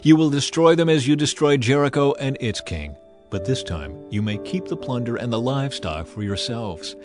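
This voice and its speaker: A man voices an authoritative deity in a dramatized Bible. The God figure gives firm, reassuring commands at a medium pitch, over music. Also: animated, moderate pace, a little mellow, lightly acted. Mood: commanding.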